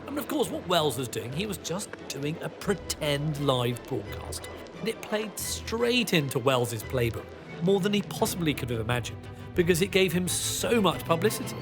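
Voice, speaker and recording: A man's voice: on the high side, big pitch swings, normal speed, over music. A man talking informally and explaining as a documentary interviewee.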